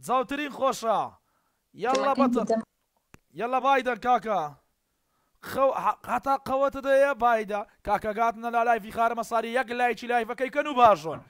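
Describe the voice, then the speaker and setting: A man's voice: very high-pitched, rapid-fire, fairly bright tone, clean tone, no room sound, studio quality. A man in his thirties with a Kurdish accent, chatting casually as a livestream host and repeating short phrases into a headset mic. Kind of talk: casual chat with strangers on a livestream.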